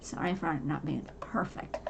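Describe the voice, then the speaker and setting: Woman in her forties, soft low gravelly voice, halting personal chat, faint noise behind. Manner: halting, many fillers and restarts. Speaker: podcast host. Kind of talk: casual personal talk to camera.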